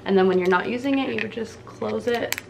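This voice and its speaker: A woman's voice: a little high, brisk, creaky, a little hiss or hum. A woman in her twenties from Canada, chatting casually to camera in a bubbly, slangy way in a small dim room. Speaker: beauty vlogger.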